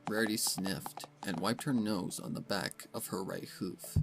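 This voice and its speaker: A woman's voice: very deep voice, steady tone, measured pace, creaky, very noisy. A woman narrating a scripted dramatic story as its storyteller. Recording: music behind the voice.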